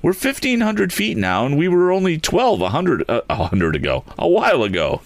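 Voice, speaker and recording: A young inventor crying out in alarm, voiced by a male storyteller. The reader pushes his voice far above his own low pitch and lands the lines with urgency. He sounds determined despite the fear. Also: huge pitch swings, moderate pace, no echo. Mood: frantic. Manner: frantic.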